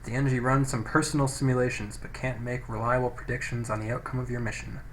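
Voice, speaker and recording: A monotone robot crew member acted out by a man reports calculations in a stilted, clipped way, as in a sci-fi game. The voice sits at a middling pitch, with some noise from a cheap microphone. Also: fast, natural voice, low-quality recording. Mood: flat, clinical.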